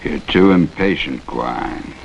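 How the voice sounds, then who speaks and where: An actor playing a bitter, ruthless crippled army captain in a 1950s TV western, gravelly, sardonic and commanding. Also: male voice, extremely low pitch, animated, takes it slowly, lo-fi sound, slight background noise, heavily acted. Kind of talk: 1950s television western acting.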